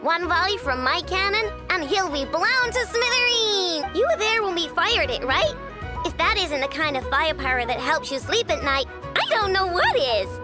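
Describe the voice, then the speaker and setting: A woman's voice: extremely high pitch, sing-song, moderate pace. An actress playing a brash, cocky young female inventor in a video game, with a casual, slangy manner. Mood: boastful. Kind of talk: video game cutscene voice acting. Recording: music behind the voice.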